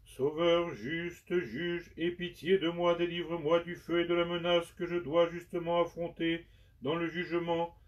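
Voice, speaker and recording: A man giving a slow, solemn liturgical recitation of penitential prayers in measured, prayerful phrases. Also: on the high side, little pitch movement. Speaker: liturgical reader. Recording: dull sound from far off the mic.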